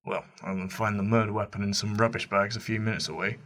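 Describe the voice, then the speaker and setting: An actor playing a smug, condescending police inspector with a dry, needling manner in an amateur radio play. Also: male voice, fairly low pitch, level tone, fast talker, fairly bright tone, lightly acted.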